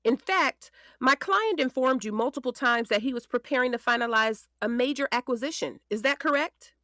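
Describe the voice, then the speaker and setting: An actress playing a determined defense attorney, questioning in a deliberate, emphatic courtroom manner for an audio drama. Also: female voice, on the high side, sharp tone, no room sound, studio quality. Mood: pointed, insistent. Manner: deliberate, emphatic cross-examining. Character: determined female defense attorney, pointed and composed.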